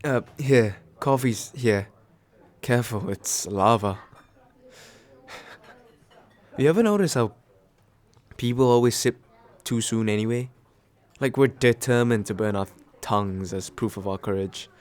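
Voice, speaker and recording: A man playing a nervous, kind guy on a second date, speaking haltingly and reassuringly in an ASMR comfort roleplay. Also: medium pitch, close to an everyday voice. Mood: light, playful. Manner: hesitant, soft-hearted, self-deprecating, halting with small pauses. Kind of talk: ASMR comfort roleplay, acted as casual chat.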